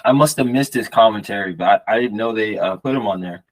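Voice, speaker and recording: Male co-host, lower register, casual conversational answers on a sports show. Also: fast, poor audio. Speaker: podcast co-host. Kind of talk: casual chat.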